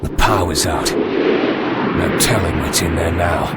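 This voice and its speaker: An actor playing a commanding, sarcastic soldier who leads a squad in an animated action game. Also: male voice, deep voice, slow, very noisy. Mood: commanding, tense. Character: gruff wisecracking male soldier squad leader. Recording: loud outdoor ambience and effects behind.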